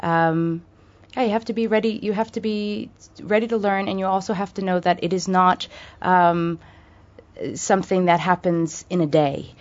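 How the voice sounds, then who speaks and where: A woman's voice: lowish voice, steady tone, moderate pace, lo-fi sound. An American woman speaking as a radio guest, thoughtful, with many fillers and restarts. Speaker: guest from a charity. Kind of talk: radio interview.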